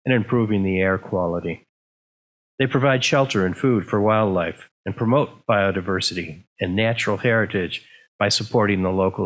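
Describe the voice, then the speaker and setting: Guy reading a prepared info talk, voice a bit on the low side. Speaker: presenter. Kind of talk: scripted informational presentation.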